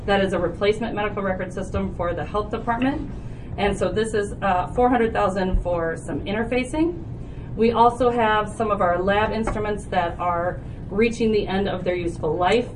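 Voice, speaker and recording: A woman from a health department presenting a funding resolution to a county committee in an organized, explanatory way, with frequent fillers. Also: mid-range voice. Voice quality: loud voice. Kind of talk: formal public meeting presentation. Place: county board meeting room. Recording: distant, noisy room recording.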